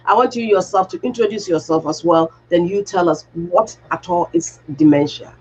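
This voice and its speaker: A woman's voice: on the low side, moderate pace, slight background noise. A woman hosting a radio health talk show who asks direct, plain questions. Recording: dull muffled radio audio.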